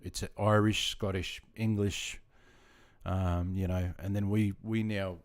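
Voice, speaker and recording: A middle-aged man from Sydney with an Australian accent, deep-voiced and chatty, talking casually with viewers on his gaming livestream. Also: level tone, slow. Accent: Australian, with some Queensland features. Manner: chatty, friendly, greets viewers by name.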